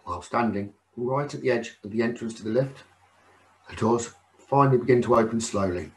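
Male meditation teacher, soothing and unhurried, mid-pitched, leaving space between phrases. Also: rough recording. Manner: soothing, unhurried, with frequent pauses. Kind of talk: guided meditation narration.

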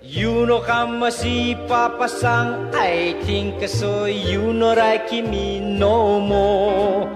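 A man performing a comic novelty song in broken pidgin English with a slow, sing-song delivery and a laughing refrain. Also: sits high, fairly even intonation. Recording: echoey old song recording with music.

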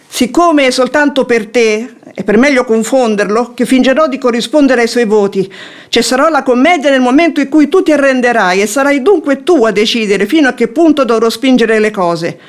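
An actress playing a shrewd, composed wife who feigns affection, with formal, eloquent theatrical phrasing in a radio drama. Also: female voice, a little high, lightly acted. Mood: firm, scheming. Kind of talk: theatrical radio drama acting of classic comedy.

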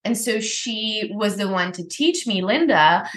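A woman's voice: mid-range voice, expressive, a little slow, fairly bright tone. A woman hosting a podcast, chatting casually and putting questions to her guests. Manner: conversational, asks questions. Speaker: podcast host. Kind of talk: casual interview chat. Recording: roomy echo.

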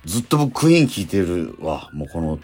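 A middle-aged man hosting a radio show in casual, animated banter. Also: sits low, brisk, soft background music. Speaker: radio show host and stage actor.

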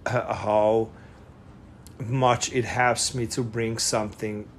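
A man's voice: on the low side, level tone, takes it slowly, a little hiss or hum. A middle-aged man from Hungary with a Hungarian accent, a guest chatting casually in an interview, hesitant and full of fillers and restarts. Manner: hesitant, many fillers and restarts, laughs often.

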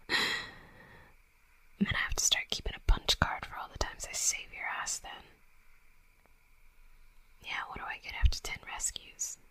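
A woman's voice: a little slow, pauses often, pushed and bright, in a whisper, poor audio. A woman voicing a tough young female werewolf bully in an intimate roleplay audio drama, brash and sweary but grudgingly tender. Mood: hushed, teasing.

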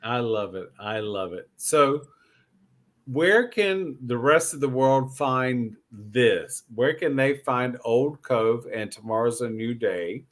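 A man's voice: medium pitch, expressive, slow pace. A man hosting a podcast as a casual chat, effusive and joking, often repeating his phrases. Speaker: podcast host.

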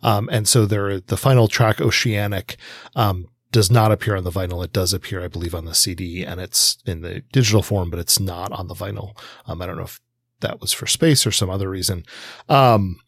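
A man with a low-pitched voice talks as a podcast co-host in a casual discussion. He is thoughtful and halting, pausing often as he works through his points. The sound is close and dry. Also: steady tone, vocal fry, slightly rough, dry recording.

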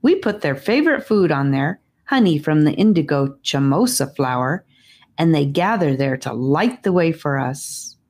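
A woman's voice: low-pitched, expressive, moderate pace, a little mellow. A friendly boy guide from a hidden fantasy kingdom, read by a woman. He explains things pleasantly in a lightly lifted storybook voice.

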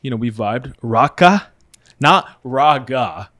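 Podcast host, male, from Oregon, easygoing and jokey, average-pitched voice. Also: animated, takes it slowly, a bit bright. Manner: joking, loose, filler-heavy talk. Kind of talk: casual podcast chat.

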